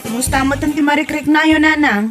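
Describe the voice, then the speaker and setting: Woman playing a high-voiced, outspoken grown daughter. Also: quick pace, over music, close to an everyday voice. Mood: earnest, scolding. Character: dutiful, outspoken grown daughter looking after her mother. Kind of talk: audio comedy-drama dialogue.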